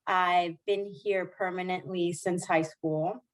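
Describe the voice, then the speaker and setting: Woman, 40s, lowish voice, earnest podcast guest on a remote call, lots of restarts. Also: fairly even intonation, slow. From Dominican Republic. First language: Spanish. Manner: warm, earnest, frequent restarts and soft laughs. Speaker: guest, diversity executive. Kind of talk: podcast interview.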